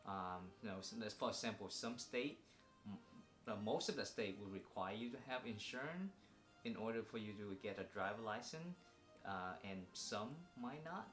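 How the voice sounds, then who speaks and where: A young man from Vietnam with a Vietnamese accent, co-hosting a casual advice vlog, talking haltingly with many fillers and restarts. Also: fairly low pitch, lively intonation, a bit bright, roomy sound, faint music behind. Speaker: vlog co-host.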